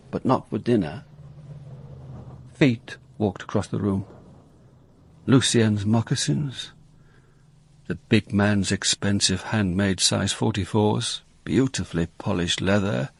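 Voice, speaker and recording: An actor playing a dogged, dry police inspector in a radio crime drama, curt in questioning and measured in narration. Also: male voice, on the low side, gravelly, a little hiss or hum.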